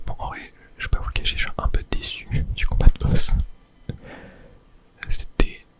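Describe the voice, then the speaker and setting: Male ASMR gaming streamer. Fully whispered, breathy, casual play-by-play on a close mic. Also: normal speed. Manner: casual, murmured reactions to gameplay. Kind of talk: whispered ASMR video game commentary.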